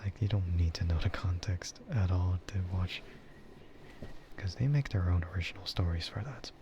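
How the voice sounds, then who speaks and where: A man streaming a casual, rambling ASMR chat in a soft, breathy voice that often slips into a whisper. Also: low-pitched, moderate pace, muffled recording, background noise. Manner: rambling, laid-back. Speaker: streamer and VTuber host.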